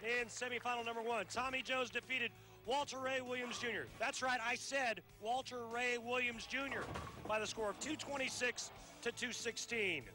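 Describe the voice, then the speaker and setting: Male sportscaster, high voice, folksy emphatic recap over music. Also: steady tone, moderate pace, bright, forceful tone, low-quality recording. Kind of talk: scripted recap. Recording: broadcast with music bed.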